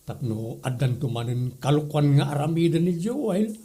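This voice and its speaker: A man's voice: on the high side, sing-song, moderate pace, dark tone, breathy edge. A man playing a flirtatious middle-aged uncle in a comedy audio drama, cajoling and teasing with a Northern Philippine accent.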